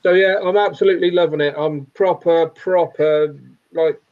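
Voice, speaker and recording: Bloke on a muffled video call going on about his model kits, a bit high, rambling and keen. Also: steady tone, moderate pace. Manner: enthusiastic, rambling, filler-heavy. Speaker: scale model builder, club member. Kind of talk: casual chat on a video call.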